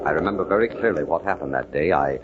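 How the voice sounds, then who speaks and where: An actor playing a haunted amnesiac war veteran, narrating his past in a halting, earnest old-time radio drama style. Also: a bass voice, expressive. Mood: brooding, pained. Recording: muffled lo-fi vintage radio recording.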